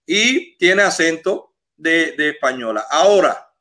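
A man with a Dominican accent hosts the talk in an animated way, stacking one example on the next. His voice is high for a man, with a bright, forceful ring to it. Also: measured pace. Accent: Dominican Spanish. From Cuba. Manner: animated, piles up lists of examples. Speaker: host. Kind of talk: live talk show interview.

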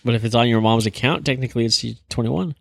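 A man's voice: mid-range voice, fast, creaky, a bit bright, no room sound, clean studio sound. A man chatting informally as a podcast co-host, rambling as he goes. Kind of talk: casual chat.

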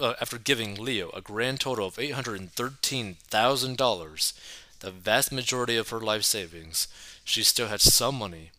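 Male host with a lowish, piercingly bright voice, reading an article in a measured way. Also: dry recording. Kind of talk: reading an article aloud, then casual commentary.

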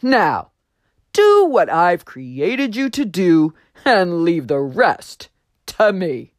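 A woman voicing a gentle, loving creator whose voice comes on the wind, calm and reassuring. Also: lowish voice, drawn-out words, dry recording, exaggerated voice. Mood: tender. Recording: dry close studio sound.

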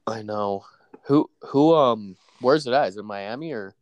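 A man's voice: fairly low pitch, a little slow, a little mellow, dry recording, highs cut off. A young man with a stuffy nose from allergies, chatting casually about work and sports, saying "dude" and "bro" a lot. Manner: laid-back, says "dude" and "bro" a lot.

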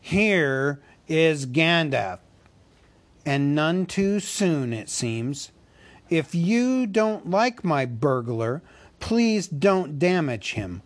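A man voicing an old male wizard who speaks slowly with dry authority, in a storybook reading. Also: fairly high pitch, big pitch swings, voice pushed up, heavily acted.

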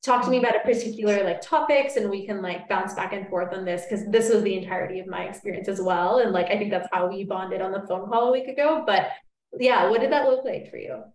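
A young woman in her twenties hosting a podcast, eagerly jumping in with quick questions. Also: mid-range voice, a little mellow. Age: 20s. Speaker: podcast host. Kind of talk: casual interview chat. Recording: echoey room.

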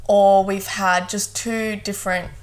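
A woman in her mid-twenties from South Africa, speaking as a guest co-host in a relaxed, thoughtful podcast chat. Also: medium pitch, a little slow, poor audio. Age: 20s. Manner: relaxed, thoughtful, fond of "hundred percent".

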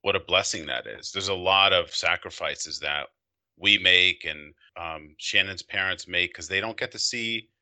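Deep-voiced man hosting a company podcast. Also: bright, forceful tone. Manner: friendly and chatty, with quick laughs. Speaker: podcast host. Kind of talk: casual interview chat.

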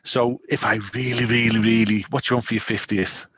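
A man in his forties, co-hosting a podcast in casual chat, rambling and animated with lots of restarts. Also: on the low side, fairly quick, slightly rough, highs cut off. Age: 40s. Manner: rambling, animated, self-interrupting. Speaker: podcast co-host.

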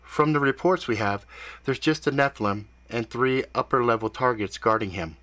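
A man doing a soft-voiced telepathic woman. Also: medium pitch, normal speed, natural voice. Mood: calm. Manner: soft, soothing, dry teasing. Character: calm telepathic woman guide, soft and soothing.

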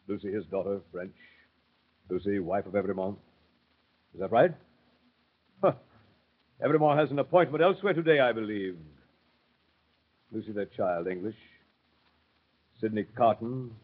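An actor playing an officious, suspicious checkpoint guard in an old radio drama, husky, barking and sardonic. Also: male voice, on the low side, normal speed, pauses often. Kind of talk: mid-20th-century radio drama acting. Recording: muffled old radio broadcast recording.

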